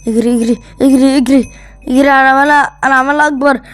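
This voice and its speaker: Child, Egyptian accent, very high voice, quick playful gaming chatter, repeated phrases, music behind. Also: steady tone. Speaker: gaming video co-host. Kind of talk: excited casual chat while playing a video game.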